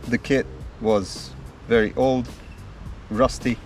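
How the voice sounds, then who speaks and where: A man, talking outdoors in the woods as a drumming vlogger, easygoing and unhurried. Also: mid-range voice, steady tone, a little mellow. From Slovakia. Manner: slow, easygoing storytelling with small pauses. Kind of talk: casual self-introduction to camera. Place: outdoors in woodland. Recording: distant mic with faint music behind.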